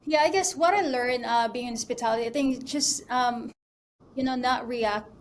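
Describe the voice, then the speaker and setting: A chatty woman in her thirties, from the Philippines, speaks in a fairly high voice and loops through fillers and restarts as she talks. Faint noise hums behind her. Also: normal speed. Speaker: mortgage broker guest. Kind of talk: casual interview chat.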